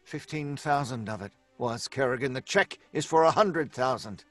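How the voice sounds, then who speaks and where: An actor playing a cornered, anxious writer in an old-time radio drama, hesitant and theatrical in delivery. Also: male voice, mid-range voice, moderate pace, fairly bright tone, no echo, faint music behind, lightly acted. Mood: wary, defiant. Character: cornered, anxious male writer, stubborn and pleading.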